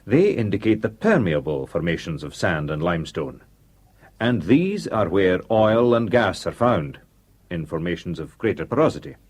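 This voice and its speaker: A methodical geologist walking others through his graphs. His voice is low and husky. It rises and falls as he explains. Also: big pitch swings, moderate pace, exaggerated voice. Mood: explanatory. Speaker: technical expert. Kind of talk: radio drama acting.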